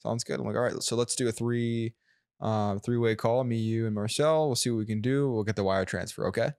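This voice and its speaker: Animated young male guest, dry sound. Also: mid-range voice, fairly quick, clean tone, no room sound. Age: young adult. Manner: animated storyteller, acts out his own quotes. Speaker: guest, sales closer. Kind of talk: casual interview chat.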